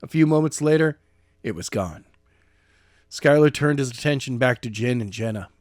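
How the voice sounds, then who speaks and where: A man reading a novel chapter aloud as narrator, in a steady, plain reading voice. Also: medium pitch, moderate pace, no room sound, studio quality. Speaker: audiobook narrator.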